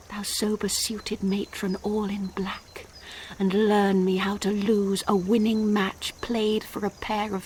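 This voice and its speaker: An actress with an English accent playing a passionate, impatient young noblewoman in a classical radio drama, speaking breathy, intense verse. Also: mid-range voice. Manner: poetic, breathy, intense declamation. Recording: noisy 1990s radio drama recording.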